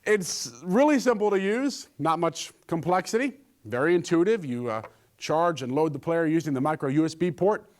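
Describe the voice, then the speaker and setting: A man's voice: a little high, animated, moderate pace, a little mellow. A man presenting a scripted gadget review, with a joking streak in his delivery.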